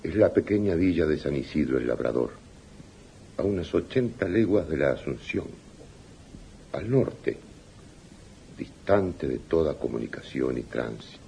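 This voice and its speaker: A man playing a calm government secretary in a radio drama, measured and formal. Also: creaky, heavily acted. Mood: calm, informative. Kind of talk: 1980s radio drama acting. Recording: faintly noisy, rumbly old radio recording.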